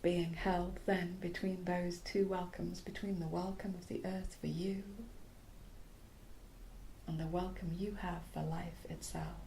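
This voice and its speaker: Slow, hushed woman guiding relaxation. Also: fairly low pitch, little pitch movement, a little hiss or hum, low-quality recording. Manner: soothing, hushed, breathy phrase endings; short phrases with long pauses. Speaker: yoga nidra guide. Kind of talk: guided relaxation meditation.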